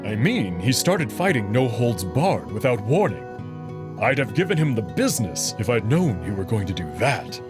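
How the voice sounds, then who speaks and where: Confident male alien wolf, acted by a man, teasing yet protective, formal edge, very expressive pitch, visual novel with clear backing music. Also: normal speed, natural voice. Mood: teasing, defensive. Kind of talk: visual novel character acting. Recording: music behind the voice.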